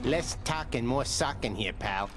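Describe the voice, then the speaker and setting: An actor voicing a wisecracking, chummy skeleton pal in a video game, gruff but sentimental and very colloquial. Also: male voice, mid-range voice, animated, unhurried, background noise, close to an everyday voice. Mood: chummy, touched.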